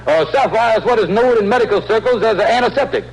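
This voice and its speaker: A man's voice: sits high, fast. A man acting a sly, bluffing, folksy husband and lodge leader in an old radio comedy, talking in a hesitant, stalling way. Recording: noisy old radio broadcast recording.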